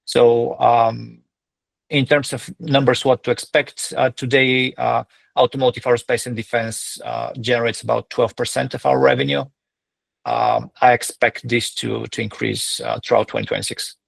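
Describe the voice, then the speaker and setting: A man speaking as a company executive, giving semi-formal, measured and somewhat hesitant explanations on an earnings call. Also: mid-range voice, flat delivery, creaky, no room sound.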